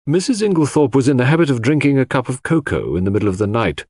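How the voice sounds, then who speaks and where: A man voicing a brisk, formal coroner who questions witnesses in a mystery audiobook. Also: medium pitch, lively intonation, darkish tone, husky, no room sound, studio quality, voice pushed up. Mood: probing, formal.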